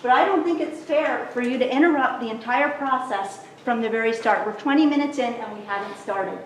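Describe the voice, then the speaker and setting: A woman on a city council delivers formal remarks in a firm, measured voice. Her pitch sits a little high, and she is caught from a distance in an echoing chamber. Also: slight background noise. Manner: firm, measured, reads prepared statement. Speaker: council member. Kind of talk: formal public meeting remarks. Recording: echoey council chamber, off-mic.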